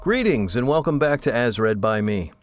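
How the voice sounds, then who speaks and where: A man's voice: medium pitch, very animated, moderate pace, soft background music. A man delivering a scripted introduction as the host of a story podcast.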